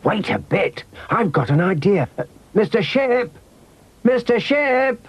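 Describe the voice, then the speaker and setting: A man's voice: a little high, animated, normal speed, breathy edge, voice pushed up. A man voicing an eager, inquisitive little boy in a children's story, full of exclamations and questions. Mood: excited.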